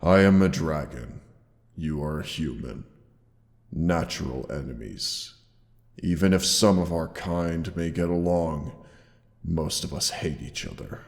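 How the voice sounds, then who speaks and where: Man acting a deep, slow, caring dragon. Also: vocal fry, exaggerated voice. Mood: wistful. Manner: slow, formal, tender, with dramatic pauses. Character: gentle, caring old male dragon, fond and noble. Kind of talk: roleplay audio drama, scripted monologue. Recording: long cavernous reverb.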